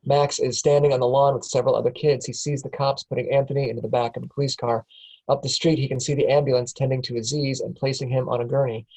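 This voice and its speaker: A man's voice: medium pitch, little pitch movement, quick pace, darkish tone, husky, rough recording. A man narrating stage directions matter-of-factly at a film script table read.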